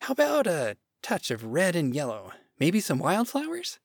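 A defiant, sardonic woman from a comic storybook, as a man puts her on. She complains casually and scornfully, with a raised pitch and animated swoops. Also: normal speed, gravelly, no room sound, studio quality, full character voice. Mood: picky. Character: defiant, critical, sardonic first woman, Lilith. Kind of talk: short story read aloud.

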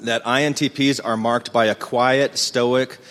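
A man's voice: medium pitch, little pitch movement, measured pace, fairly bright tone. Man, conference presenter, rambling, hesitant, self-deprecating, reverberant hall. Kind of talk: casual conference talk. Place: conference room.

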